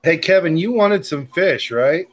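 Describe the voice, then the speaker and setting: A man with a West Coast accent and a slightly raised voice talks in a loose, rambling way, stumbling over words and circling back. He sounds chatty and relaxed, like someone hosting a casual group call. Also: on the high side, a little slow. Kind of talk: casual chat.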